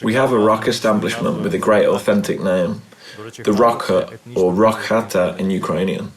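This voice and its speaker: A man with a lowish voice reads a scripted voice-over translation. He is earnest and measured, leaning on key words with quiet emphasis. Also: a crowd in the background, rough recording.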